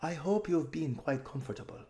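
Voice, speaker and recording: A determined young noble girl, acted by a male reader, raised a little in pitch and lively in tone. She sounds brisk, firm and matter-of-fact, like a storybook heroine. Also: reverberant, lightly acted. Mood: composed. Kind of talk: children's storybook character voice.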